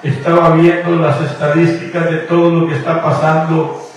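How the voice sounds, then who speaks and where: A man's voice: on the high side, level tone, normal speed. A man from Guatemala, preaching a radio sermon in a fervent, sing-song cadence. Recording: echoey, distant radio broadcast with faint music.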